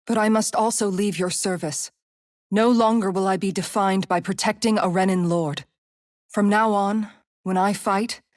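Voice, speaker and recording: A woman's voice: medium pitch, dry recording, studio quality, close to an everyday voice. Actress as an earnest, resolute young woman warrior. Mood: solemn, resolved. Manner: measured, formal, sincere. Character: earnest, resolute young woman guard turned freedom fighter. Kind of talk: dramatic video game cutscene acting.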